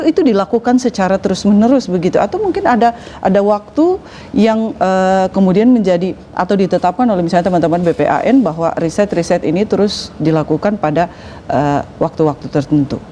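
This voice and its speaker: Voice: medium pitch, a little fast, dark tone, a little hiss or hum. A talk show host with an Indonesian accent, interviewing guests with polite, wordy questions and frequent acknowledgements.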